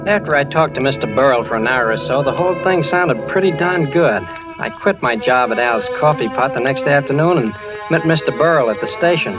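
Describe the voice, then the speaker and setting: A man's voice: mid-range voice, lively intonation, lightly acted, low-quality recording. Man acting a hesitant boyish young radio-drama narrator over music. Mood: wistful confiding. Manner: halting, stammering, boyish. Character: hesitant young man posing as a long-lost son. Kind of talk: 1940s-50s radio drama acting with first-person narration. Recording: old radio recording with music behind.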